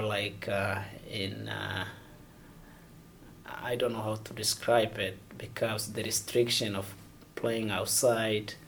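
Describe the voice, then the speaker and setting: A man from Somalia, answering interview questions haltingly and searching for words. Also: lowish voice, gravelly, fairly bright tone, a little hiss or hum. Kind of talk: oral history interview.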